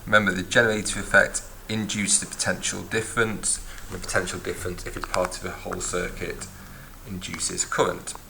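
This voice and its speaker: A man's voice: sits low, level tone, fairly quick, creaky, pushed and bright, slight background noise, low-quality recording. A man teaching a physics lesson, explaining step by step and recapping as he writes in a notebook. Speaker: science teacher. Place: at a desk, filming a notebook from above.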